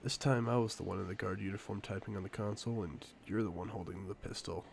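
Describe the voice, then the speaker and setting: A man playing a suave, confident secret agent in a spy audio drama, speaking in a playful, theatrical, deliberate way. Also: fairly low pitch, fairly even intonation, a little hiss or hum. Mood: wry, composed. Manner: smooth-talking, playful, theatrical, deliberate phrasing. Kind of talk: scripted one-sided audio roleplay, spy drama.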